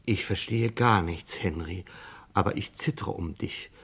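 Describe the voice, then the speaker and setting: Husky, simple-minded sidekick. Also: male voice, sits low, measured pace, a bit bright, exaggerated voice. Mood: anxious, puzzled. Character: simple, anxious, loyal male sidekick. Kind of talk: German radio crime play acting.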